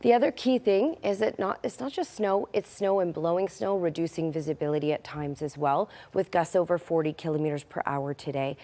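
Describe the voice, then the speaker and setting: Hoarse, dark-toned woman presenting weather. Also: fairly low pitch, brisk, few pauses. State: losing her voice. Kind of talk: unscripted weather report.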